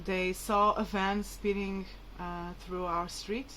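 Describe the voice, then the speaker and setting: A woman's voice: mid-range voice, flat delivery, slow, fairly bright tone, a little hiss or hum, low-quality recording. A woman whose mother tongue is Portuguese, talking casually and hesitantly to viewers in a rambling, informal vlog. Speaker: vlogger.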